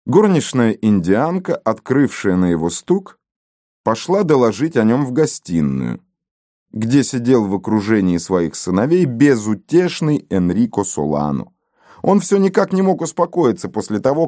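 Guy reading an audiobook, warm mellow voice, very animated up and down, dry close mic. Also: mid-range voice, dry recording. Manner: expressive, measured storytelling. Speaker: audiobook narrator. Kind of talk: scripted audiobook narration.

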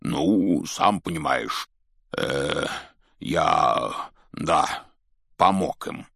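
A man acting out a huge, gruff groundskeeper giant from a storybook. He is good-natured and blunt, with folksy, teasing talk in a low-pitched, close and dry voice. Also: slow pace, no room sound. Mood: sheepish.

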